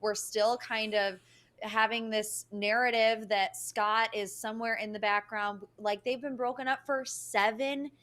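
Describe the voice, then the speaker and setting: A woman's voice: a little high, steady tone, unhurried, fairly bright tone. A woman giving emphatic, opinionated commentary as a panelist on a casual recap show.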